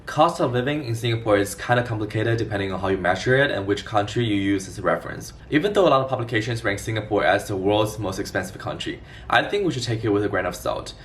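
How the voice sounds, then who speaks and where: A man in his twenties from China giving a scripted explainer vlog in a clear, organized way. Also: on the low side, fast, hardly any pauses, far from the mic, faint music behind. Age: 20s. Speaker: vlogger.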